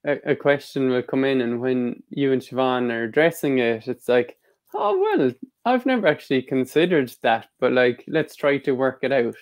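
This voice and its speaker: A man's voice: medium pitch, lively intonation, normal speed. A man with an Irish accent hosting a podcast and asking easygoing questions over a video call. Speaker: podcast host. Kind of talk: casual podcast interview. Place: home room. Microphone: video call, earphones.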